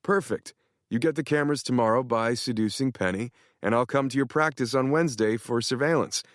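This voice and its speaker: A man's voice: medium pitch, fairly quick, no room sound, clean studio sound, pitched above the performer's own voice, lightly acted. A man voicing a boastful, eccentric older man who talks with brash self-assurance. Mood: bossy.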